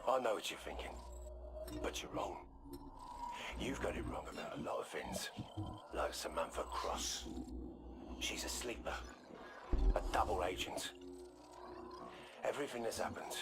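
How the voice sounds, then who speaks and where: An actor playing an ominous informant in a video game, delivering warnings in a menacing, deliberate, breathy way. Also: male voice, deep voice, lively intonation. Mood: ominous, accusing. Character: ominous male informant taunting a general with warnings. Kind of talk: scripted video game dramatic monologue. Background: loud music.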